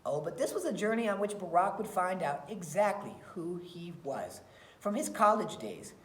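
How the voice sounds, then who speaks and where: A woman reading a children's picture book aloud in an expressive storytelling style. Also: fairly low pitch. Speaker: read-aloud reader. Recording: roomy, echoey sound.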